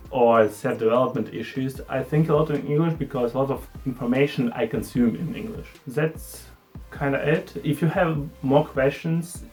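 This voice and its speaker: A man's voice: mid-range voice, darkish tone. A young man, a native Ukrainian speaker with an accent, chatting casually to camera in his vlog with a self-deprecating, friendly manner. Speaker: vlogger. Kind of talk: casual talking-head vlog.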